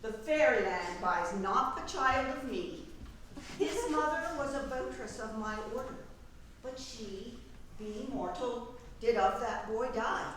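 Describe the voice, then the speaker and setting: A woman playing a proud, defiant fairy queen in a measured, declamatory staged reading of Shakespearean verse. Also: a little high, a bit bright. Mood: firm, defiant. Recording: echoey room from far off, faint noise.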